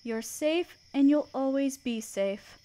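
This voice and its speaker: A woman acts out a regal spider queen with a fairly high, soft voice. She is motherly and protective, with an old sorrow behind her. Her delivery is deliberate and soothing, made for a comforting roleplay audio drama. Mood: soothing, tender.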